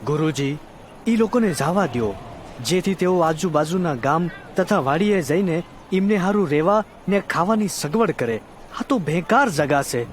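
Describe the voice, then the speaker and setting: A man's voice: fairly high pitch, expressive, normal speed, slight background noise, close to an everyday voice. A man with a Kathiyawadi Gujarati accent playing an earnest, deferential disciple in an audio drama. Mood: concerned. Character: earnest male disciple addressing his master.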